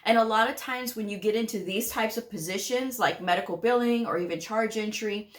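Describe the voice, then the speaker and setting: A woman's voice: mid-range voice, a little fast. A woman hosting her own channel who gives casual career advice to viewers, talking directly and firmly, often checking in with "okay?" and laughing lightly. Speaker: medical coding educator and channel host.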